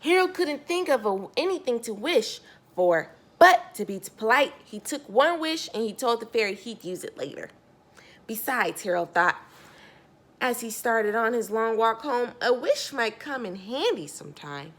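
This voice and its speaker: High-voiced woman reading animatedly to children. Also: very animated, normal speed. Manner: addresses young listeners directly, emphasising key words. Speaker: storyteller reading a picture book to children. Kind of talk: expressive children's read-aloud with questions to the listener.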